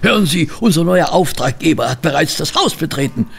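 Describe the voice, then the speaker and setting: A fussy, loyal gentleman companion in a radio play. His voice sits a little high for a man and moves in lively swings, with formal, old-fashioned phrasing. It has a gravelly creak. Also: brisk, a little hiss or hum. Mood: flustered, indignant. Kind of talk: German audio drama acting and narration.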